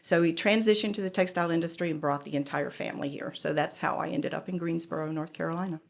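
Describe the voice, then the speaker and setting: Low-voiced older woman telling her story. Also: creaky. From Cuba, raised in Georgia. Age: around 70. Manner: reflective storyteller, measured with small pauses. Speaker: furniture designer, guest. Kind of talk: conversational interview.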